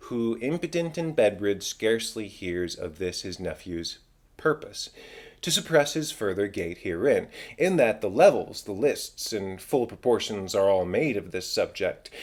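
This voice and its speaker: Man acting a stately, commanding Shakespearean king. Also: lowish voice. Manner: declamatory, stately. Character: commanding middle-aged king, formal, smoothly authoritative. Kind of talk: dramatic Shakespeare reading.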